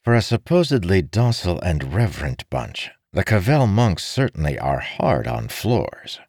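A man voicing an easygoing monk in an audiobook story, wry, relaxed and playfully teasing. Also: low voice, moderate pace, dry recording, studio quality, voice pushed up, full character voice.

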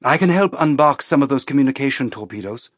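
A male audiobook reader plays a frustrated crewwoman on a spaceship. She is outspoken, informal and blunt, voiced at a middling pitch in a very dry recording. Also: lively intonation, fast, no room sound, natural voice. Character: frustrated, outspoken woman spaceship crew member. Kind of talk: audiobook character acting.

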